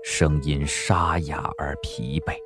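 A man's voice: rumbling low, fairly even intonation, takes it slowly, a bit bright, faint music behind. A man giving dramatic scripted narration for a historical audio drama, as an audiobook narrator.

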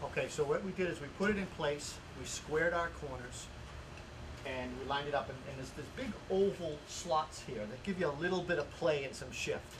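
A man in his forties talks through a carpentry job in a plain, informal, step-by-step way. His voice sits a little higher than most men's. He is recorded outdoors, with clear ambient noise behind his words. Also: moderate pace. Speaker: carpenter hosting a home improvement series. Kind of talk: hands-on how-to explanation, informal. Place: outdoors in a house yard. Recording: noisy outdoor camera audio with ambient sound.

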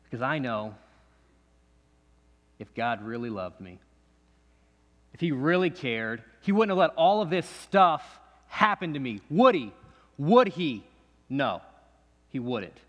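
An actor playing a bitter, distrustful man who keeps himself restrained, talking in halting bursts that repeat and break off, with pointed questions at the listener. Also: medium pitch, lively intonation. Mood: bitter, defiant. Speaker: actor in a monologue. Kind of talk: dramatic stage monologue. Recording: long echoey hall reverb.

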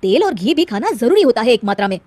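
Cartoon young husband, voiced by a woman: exasperated, admonishing, very high voice, dry sound. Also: sing-song, fast, a little mellow, no echo. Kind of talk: Hindi cartoon moral-story acting.

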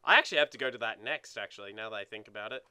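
A young man co-hosting a video game let's play in casual chat, excitable and sweary, often repeating words as he gets going. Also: fairly low pitch, rapid-fire, clean tone.

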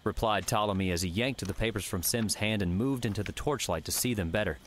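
A man's voice: fairly low pitch, fairly quick. A man narrating an adventure novel as a scripted audiobook reading. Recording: faint background noise and ambience.

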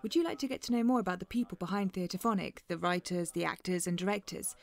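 A woman's voice: lowish voice, fast, creaky. A woman podcast announcer reading a scripted promotional message.

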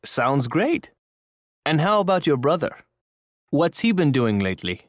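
A man reading scripted language-course lines with clear enunciation. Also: on the high side, very animated, unhurried, no echo, studio quality. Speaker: announcer and dialogue actor.

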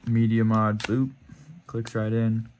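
A man with a lowish voice talks through his riding camera setup in an easygoing, rambling way. He often stops to correct himself. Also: completely flat delivery, slow, music in the background. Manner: laid-back, rambling, self-correcting. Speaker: motovlogger. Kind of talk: casual gear walkthrough.

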